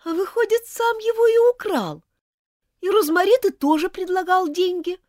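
A woman's voice: very high voice, expressive, normal speed, a little mellow, no echo, studio quality, pitched above the performer's own voice. A woman reading aloud, voicing an indignant schoolgirl who scolds and accuses. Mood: outraged. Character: furious indignant schoolgirl, sharp-tongued. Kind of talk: audiobook character voice.

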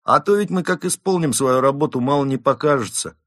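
A man's voice: mid-range voice, a little fast, a bit bright, dry recording, studio quality, voice pushed up, close to an everyday voice. A man voicing a stern, bossy moose policeman in a storybook, pompous and commanding, barking out orders. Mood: ominous, smug.

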